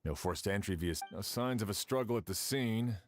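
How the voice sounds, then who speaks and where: An actor playing a composed, methodical investigator in a video game, serious and inquisitive. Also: male voice, fairly low pitch, a little fast, lightly acted. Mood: serious, probing. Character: composed, methodical male investigator questioning witnesses. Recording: faintly noisy game livestream capture.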